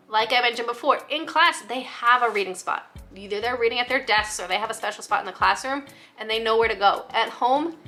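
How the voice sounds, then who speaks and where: A woman teacher giving an instructional talk to other educators, explaining things clearly in an encouraging manner. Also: a little high, pushed and bright.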